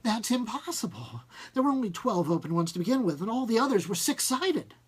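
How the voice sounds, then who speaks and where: Husky, excitable little old fellow played by a man. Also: high voice, pitched above the performer's own voice, heavily acted. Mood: agitated. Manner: halting, exclamatory, swinging from agitated to thoughtful. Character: fat little older man, excitable experimenter, easily agitated.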